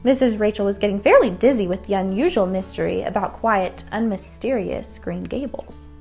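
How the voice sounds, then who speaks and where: A woman's voice: medium pitch, normal speed, creaky, darkish tone. A woman narrating a children's bedtime story from a script. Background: music.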